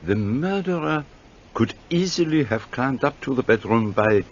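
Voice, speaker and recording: Precise old detective, husky, rising and falling, muffled sound. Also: male voice, medium pitch, animated. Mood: deliberate. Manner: slow, precise, fussy with French words. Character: fussy elderly Belgian male detective. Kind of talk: radio drama acting.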